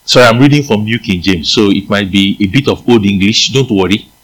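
A man's voice: fairly quick, low-quality recording. A man with a Nigerian accent preaching a sermon, reading scripture aloud and asking his listeners questions. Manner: animated, leaps in pitch for emphasis, asks the listeners questions.

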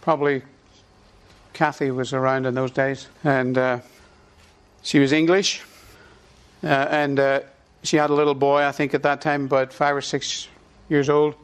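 A man's voice: medium pitch, flat delivery, unhurried, pauses often, clear, resonant tone. A middle-aged man with an Irish accent, preaching a sermon and leading the congregation in call-and-response. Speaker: pastor.

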